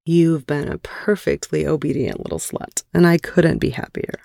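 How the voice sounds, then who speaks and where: A woman's voice: sits low, big pitch swings, moderate pace, gravelly, mellow, no room sound, clean studio sound, exaggerated voice. A woman voicing a dominant, aroused male lover, teasing and stern, in an audio erotic story. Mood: tender, satisfied.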